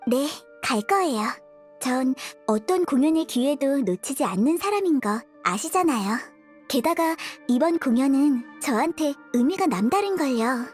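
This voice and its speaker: An actress playing a sweet, polite, determined young dancer in a video game, gentle and courteous. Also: female voice, sits high, lively intonation, normal speed, faint music behind. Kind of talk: video game dialogue acting.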